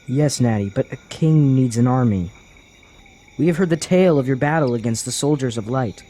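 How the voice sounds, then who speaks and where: A man's voice: mid-range voice, moderate pace, dark tone, close to an everyday voice. A man playing a cautious, earnest young traveler in a fantasy audio drama, speaking formally as an anxious protector. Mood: polite, curious.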